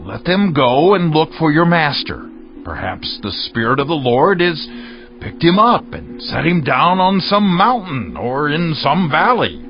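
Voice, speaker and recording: An actor playing a humble, earnest follower who speaks with deference in a dramatized scripture reading. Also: male voice, fairly high pitch, big pitch swings, measured pace. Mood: earnest pleading. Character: humble, earnest male follower pleading respectfully. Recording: muffled audio over faint music.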